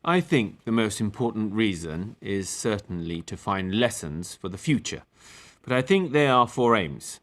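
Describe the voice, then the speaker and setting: A man playing an authoritative, defensive captain giving evidence, speaking formally, deliberately and firmly in a table read of a courtroom play. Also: fairly low pitch, sing-song, fairly bright tone. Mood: measured, explanatory.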